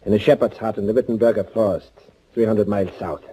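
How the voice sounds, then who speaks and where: Actor doing bitter underground guy in 1940s radio drama, dark mellow low voice, husky, dramatic and sardonic. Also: fairly even intonation, fast, slight background noise, lightly acted. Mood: bitter, intense. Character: bitter younger German underground man, armed avenger. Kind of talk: 1940s radio thriller acting. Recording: muffled 1940s radio recording.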